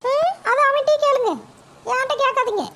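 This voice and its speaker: A woman voicing a quarrelsome young mother, shrill and snapping. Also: brisk, background noise.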